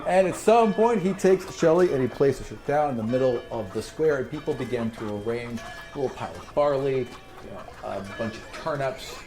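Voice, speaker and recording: A man's voice: mid-range voice, expressive, fairly quick, warm tone, faint music behind. A man narrating a tabletop game as game master, descriptive and conversational with dramatic storytelling.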